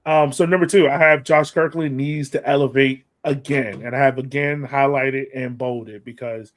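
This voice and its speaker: A man's voice: medium pitch, fairly even intonation, normal speed. A man hosting a sports podcast, giving casual fan commentary with playful, acted-out banter.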